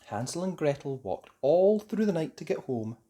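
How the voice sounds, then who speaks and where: A children's story reader narrating a fairy tale. The delivery is theatrical and emphatic, with very lively rises and falls in pitch. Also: normal speed. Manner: theatrical, emphatic for young listeners. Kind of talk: expressive scripted storybook narration.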